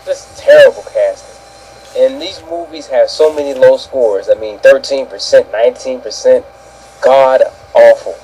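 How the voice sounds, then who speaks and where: A man's voice: medium pitch, normal speed, warm tone, rough recording. A man co-hosting a casual talk, giving blunt, exasperated opinions in slangy language. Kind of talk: casual ranting commentary.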